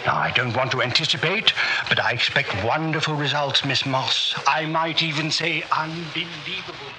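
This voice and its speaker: An actor playing an obsessive, absent-minded male teacher in a 1960s radio drama, halting, repetitive and theatrically fervent. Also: on the high side, lightly acted. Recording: noisy old radio drama recording.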